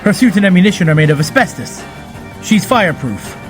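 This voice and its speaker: An actor playing a defiant young android superhero from Brooklyn in an audio drama, with dramatic tough talk. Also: male voice, sits high, big pitch swings, brisk. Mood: determined. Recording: loud music behind.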